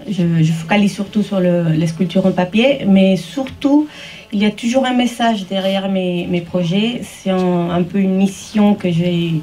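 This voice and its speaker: Distant Mexican-accented woman, halting speech. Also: medium pitch, warm tone. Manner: halting, searching for words, earnest. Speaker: artist, paper sculptor. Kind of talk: informal speech at an exhibition opening. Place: exhibition opening, speaking to guests. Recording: distant microphone, noisy room ambience.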